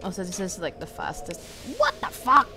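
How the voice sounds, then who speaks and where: A woman's voice: medium pitch, huge pitch swings, moderate pace, music in the background. A woman doing casual let's-play commentary, sweary and exclamatory, talking half to herself as she plays. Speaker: gaming video creator. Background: video game ambience sounds.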